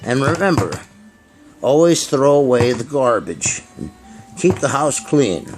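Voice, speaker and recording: A man voicing a greedy, gleeful garbage can in a children's puppet show, speaking slowly and repetitively. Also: medium pitch, very animated, over music. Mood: friendly, preachy. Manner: slow, repetitive, savoring delivery.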